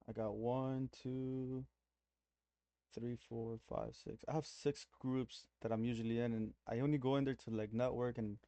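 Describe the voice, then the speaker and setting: A man from Nicaragua with a Miami accent, hosting a trading stream in relaxed, rambling casual chat. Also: on the low side, clear, resonant tone, dry recording. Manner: relaxed, rambling, mixes in slang.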